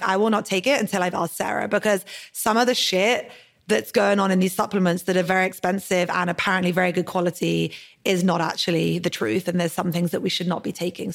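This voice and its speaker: A woman's voice: on the low side, vocal fry. A woman hosting a podcast, talking in an effusive, casual way, swearing freely and saying "like" often. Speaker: podcast host.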